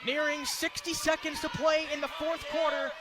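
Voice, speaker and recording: Very high-pitched man calling basketball over crowd noise. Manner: clipped, rapid-fire phrases; excited, projected delivery. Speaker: play-by-play commentator. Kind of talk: live radio basketball play-by-play commentary. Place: indoor basketball arena. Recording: loud crowd and gym noise. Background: crowd noise and bouncing basketball.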